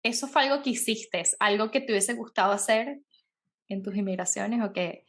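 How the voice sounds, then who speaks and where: A woman hosting an interview podcast, lively and affirming as she draws out her guest. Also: mid-range voice, normal speed. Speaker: podcast host. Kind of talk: casual interview conversation.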